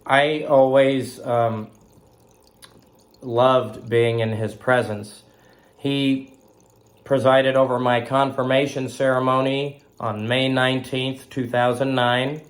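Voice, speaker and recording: A man in his thirties from Southern California, hosting a faith broadcast while recovering from illness. He talks slowly and haltingly, with fillers and a slight cough. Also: medium pitch, little pitch movement. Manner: slow, halting, with frequent fillers and throat clearing. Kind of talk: casual spoken tribute.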